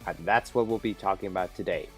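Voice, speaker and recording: A man's voice: deep voice, fast, slightly rough. Sarcastic guy hosting a countdown review. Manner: sarcastic, self-deprecating, joking. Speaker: host of a video review countdown. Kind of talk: scripted comedic review commentary. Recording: amateur recording with light background music.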